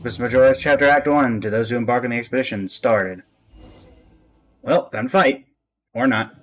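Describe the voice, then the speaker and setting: A man's voice: medium pitch, moderate pace, soft background music. A man streaming a video game with casual, chatty commentary.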